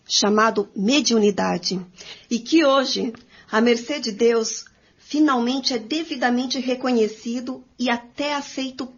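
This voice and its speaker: Woman playing an earnest brazilian spiritist in a radio play, husky, formal, dull audio. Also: fairly high pitch. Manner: formal, emphatic reading. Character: earnest, affirming female spiritist group member. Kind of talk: amateur radio drama acting.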